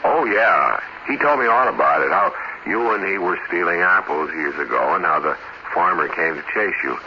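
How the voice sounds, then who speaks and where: A man acting a calm, sinister alien impostor posing as a husband in a 1950s radio drama, measured and quietly insistent. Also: low-pitched. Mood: matter-of-fact. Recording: dull, noisy old radio recording.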